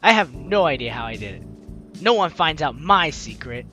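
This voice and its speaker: Male let's player, slightly high and lively, expressive visual novel narration with playful asides, light music behind. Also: moderate pace. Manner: expressive, acts out reactions. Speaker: let's play host. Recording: music under the voice. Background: game music.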